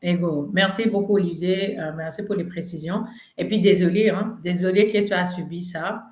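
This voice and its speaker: A woman's voice: fairly low pitch, fairly even intonation, moderate pace, darkish tone, roomy sound. A woman political candidate from Burundi whose first language is French, earnestly answering questions in a virtual community meeting. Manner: earnest, mixing languages, persuasive. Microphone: video call.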